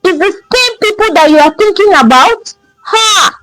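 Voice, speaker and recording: Woman acting an exasperated Nigerian man, swooping pitch. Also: animated, unhurried, a bit bright, no echo, soft background music, full character voice, low-quality recording. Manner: emphatic scolding with rhetorical questions and interjections. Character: exasperated young Nigerian man scolding his stubborn friend.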